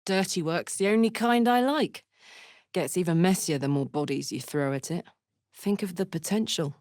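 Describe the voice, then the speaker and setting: A woman's voice: on the low side, moderate pace, darkish tone, no room sound, studio quality, lightly acted. An actress voicing a brash, big-hearted young female warrior in a video game, blunt and swaggering, tough yet playful and emotionally open. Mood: upbeat, loyal. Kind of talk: video game character voice lines.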